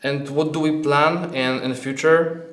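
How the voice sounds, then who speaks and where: A man giving a software product presentation as a non-native English speaker, hesitant and halting, often repeating words. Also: fairly high pitch, steady tone. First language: not English. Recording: echoey, roomy sound.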